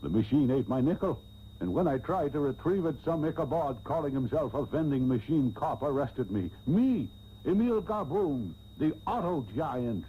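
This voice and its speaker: A man's voice: fairly high pitch, very animated, moderate pace. A man playing a cranky, blustery, pompous old auto tycoon in a comic radio soap. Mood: indignant. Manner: irascible, old-fashioned slangy bluster. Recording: dull, noisy old radio tape with hum.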